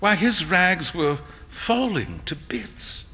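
A man acts out an earnest young gentleman from a storybook, naive and quick to fluster. The voice is fairly high and bright, with lively swings as he protests and complains, indignant one moment and plaintive the next. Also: exaggerated voice. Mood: earnest concern. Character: earnest, naive, easily flustered young English gentleman, sulky when teased. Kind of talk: acted storybook dialogue.

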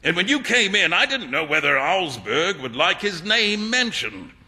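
A man's voice: high voice, sing-song, moderate pace, pushed and bright, voice pushed up, exaggerated voice. A man voicing a flamboyant, worldly English painter in a storybook, teasing and exuberant in a theatrical manner. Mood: jovial reassurance. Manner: theatrical, teasing, exuberant, slipping into French phrases. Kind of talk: acted storybook dialogue. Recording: echoey hall with long reverb.

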